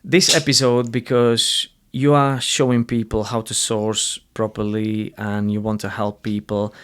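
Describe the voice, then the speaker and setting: A man's voice: on the low side, little pitch movement, a little slow, fairly bright tone, clear, resonant tone. A man with a Slovak accent hosting a podcast interview, talking bluntly and earnestly with frequent restarts and some swearing. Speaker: podcast host. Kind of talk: casual interview conversation.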